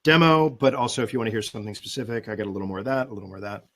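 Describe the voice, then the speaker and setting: Relaxed, thoughtful man on a call. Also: on the low side, very fast. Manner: thoughtful, relaxed, with many fillers. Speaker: guest voice actor and demo producer. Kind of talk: casual webinar chat.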